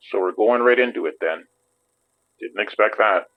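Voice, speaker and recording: An actor playing a wary, blunt police detective in an audio drama. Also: male voice, fairly low pitch, narrow, tinny audio.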